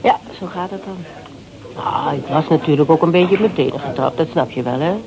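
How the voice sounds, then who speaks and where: An actress in a Dutch radio play as a frank, self-assured woman, gently teasing and probing in colloquial speech. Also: low voice, fairly quick, gravelly, low-quality recording. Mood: amused. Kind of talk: naturalistic Dutch radio drama acting. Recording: radio play with crowd chatter behind.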